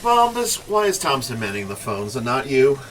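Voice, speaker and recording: A man's voice: medium pitch, big pitch swings, moderate pace, crowd noise, natural voice, rough recording. A man playing a sarcastic, curt and cocky news station boss in a radio drama. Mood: curt, dismissive. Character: brash, dismissive male news station boss.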